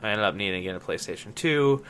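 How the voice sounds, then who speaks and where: Male podcast host, late 20s, lowish bright voice, casual conversational delivery, slight background noise. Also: expressive, quick pace. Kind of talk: casual chat about gaming.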